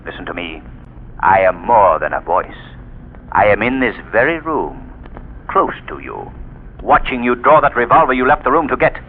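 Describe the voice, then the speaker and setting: An actor playing a mysterious, commanding male avenger in a 1930s radio drama, speaking in a stern, deliberate manner. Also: on the low side, sing-song, slight background noise. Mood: ominous. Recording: tinny old 1930s radio recording with music.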